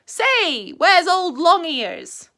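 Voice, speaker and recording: A Scottish woman voicing a bouncy, boastful male tiger in a storybook reading, with booming, exuberant hoots. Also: sing-song, very slow, sharp tone, heavily acted.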